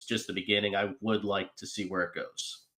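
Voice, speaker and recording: Male, lower-pitched, informal participant voice giving concise feedback on a call. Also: flat delivery, brisk, sharp tone. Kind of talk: brief casual feedback.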